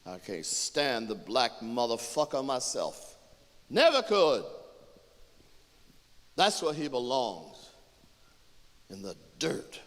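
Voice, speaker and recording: A man's voice: mid-range voice, sing-song, slow, long pauses, a bit bright. Man acting a harsh, commanding master, widely swinging. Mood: furious contempt. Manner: barking, profane, commanding. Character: harsh, contemptuous armed master on horseback. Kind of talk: dramatic oral storytelling. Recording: echoey hall with long reverb.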